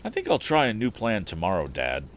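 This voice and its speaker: A man's voice: lowish voice, huge pitch swings, fairly quick, a little hiss or hum. A man voicing an earnest, determined young male inventor in a storybook reading, eager as he explains. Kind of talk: acted audiobook dialogue.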